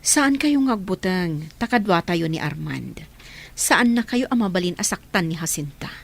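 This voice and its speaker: A woman's voice: fairly low pitch, expressive, moderate pace, vocal fry. A woman playing a firm, reassuring motherly figure, speaking earnestly in radio drama style. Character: firm, reassuring motherly elder of orphaned children. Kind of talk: Filipino radio drama acting.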